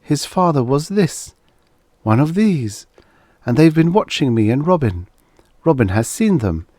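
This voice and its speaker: A man's voice: a little high, very animated, measured pace, mellow, no echo, clean studio sound, voice pushed up. A man voicing a distraught, stern mother near forty in a story reading, speaking with anguished urgency. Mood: dawning horror.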